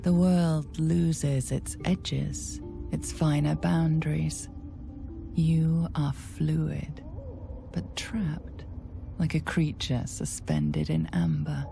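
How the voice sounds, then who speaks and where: A grave female storyteller of a dark fantasy game. She is hushed and dramatic, low-pitched and very creaky. Each phrase comes slowly and deliberately, in a dark, mellow tone. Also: fairly even intonation, soft background music, exaggerated voice. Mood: grave, intense. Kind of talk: scripted second-person game narration.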